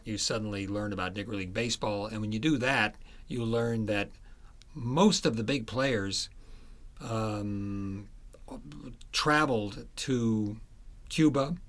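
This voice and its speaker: A man's voice: fairly low pitch, a bit bright. A man being interviewed as an author, telling history in a conversational, explanatory and emphatic way.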